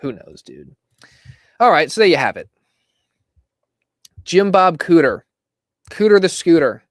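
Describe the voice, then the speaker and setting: A man hosting a casual live stream about his football team, chatty and laughing, his voice going hoarse. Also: fairly high pitch, big pitch swings, a little slow, no room sound, clean studio sound. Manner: chatty, laughs between lines, reads out viewer comments. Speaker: fan show host. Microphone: desk microphone.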